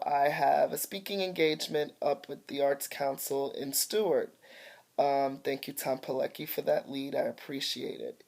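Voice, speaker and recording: Middle-aged woman with a low, warm voice and some chest congestion; casual, grateful vlog update. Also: gravelly. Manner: chatty, grateful, slightly congested. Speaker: artist and vlogger. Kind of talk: casual home video update. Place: at home by a window. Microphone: phone or webcam camera mic.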